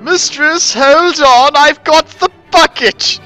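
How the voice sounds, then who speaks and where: Man putting on a high, flustered servant girl. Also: slow pace, sharp tone, soft background music, heavily acted. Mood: urgent. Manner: exclaiming, deferential.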